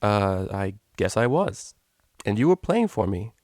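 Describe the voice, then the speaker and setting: A man's voice: fairly low pitch, very animated, unhurried, darkish tone, no echo. Mischievous storybook unicorn mare DJ, casual and teasing, read by a man in a dry, close recording. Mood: bemused. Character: cheeky mischievous female unicorn DJ pony.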